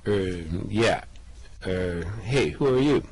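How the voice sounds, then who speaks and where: A man's voice: on the low side, animated, slow, darkish tone, breathy edge, no echo, full character voice. A man voicing a laid-back, slightly dim male space adventurer who drawls in a slack, easygoing way, in an amateur radio play reading.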